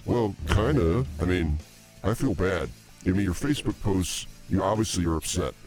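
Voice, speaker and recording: A man's voice: low-pitched, expressive, normal speed, a little mellow, soft background music. A man co-hosting a comedy podcast, dry and laid-back. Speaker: co-host. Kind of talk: casual chat.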